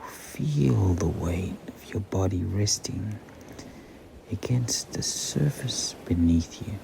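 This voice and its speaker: A man guiding a meditation in a soothing, breathy near-whisper with long pauses. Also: low-pitched, animated. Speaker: meditation guide. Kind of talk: slow guided meditation. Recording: clearly audible background ambient noise.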